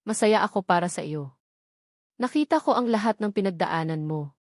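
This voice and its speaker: A woman's voice: medium pitch, animated, normal speed, no room sound, studio quality, natural voice. A Filipino woman voicing a kindly, motherly older woman with tender reassurance in a narrated story. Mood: moved, glad.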